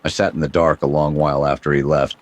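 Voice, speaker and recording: A man narrating as a world-weary private detective, deadpan with dry wisecracks, in a 1930s-style noir radio drama. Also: a bass voice, fast talker, muffled recording.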